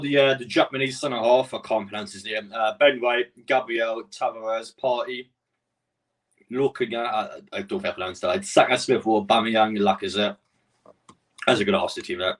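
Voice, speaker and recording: A man's voice: lowish voice, moderate pace, distant mic, low-quality recording. A man from Newcastle with a Geordie accent, hosting a casual live stream and chatting with his viewers in a rambling way. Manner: chatty, rambling, answers chat messages.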